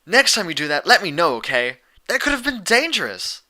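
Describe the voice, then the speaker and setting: A man's voice: high-pitched, big pitch swings, brisk, bright, forceful tone, voice pushed up, heavily acted. A man voicing a brash, cocky young female flying pony with a casual manner in a story reading. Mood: exasperated.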